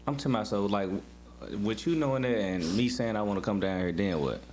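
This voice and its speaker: A man's voice: lowish voice, quick pace, a little mellow. A man in his twenties chatting informally as a podcast co-host, playfully arguing his point. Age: 20s. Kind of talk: casual couple banter. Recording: clearly noisy with a hum.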